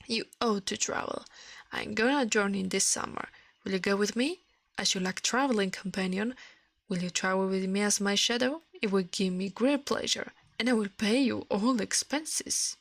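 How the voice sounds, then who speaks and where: A woman's voice: medium pitch, normal speed, dry recording, close to an everyday voice. A woman voicing a man's living shadow in an ASMR storybook reading, pompous, smug and condescending. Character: man's shadow come alive, smug, condescending, self-important master.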